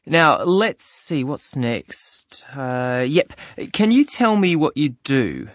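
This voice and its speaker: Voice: mid-range voice, big pitch swings, slow, no echo. A chatty, friendly club clerk for an exam listening dialogue. The recording sounds dry and a little dull, with no room around the voice. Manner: friendly, chatty, with ums and small laughs. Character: friendly office clerk taking a new member's details. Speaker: club receptionist. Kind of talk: scripted listening-test dialogue.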